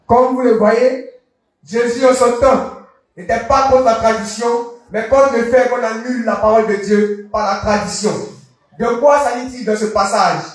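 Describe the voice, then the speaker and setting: High-voiced preacher in an echoing hall. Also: male voice, steady tone. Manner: slow, declaiming, repeating the reading line by line. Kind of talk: sermon. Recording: echoey hall, voice from far off.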